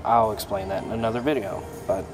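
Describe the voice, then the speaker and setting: A young mechanic casually showing his toolbox, talking in a relaxed, plainspoken way with plenty of ums and uhs. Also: on the low side, fast, over music, poor audio. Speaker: mechanic giving a toolbox tour. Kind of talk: casual show-and-tell vlog. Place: auto repair shop, indoors. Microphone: phone held in hand, filming first-person. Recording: shop noise with air conditioning hum.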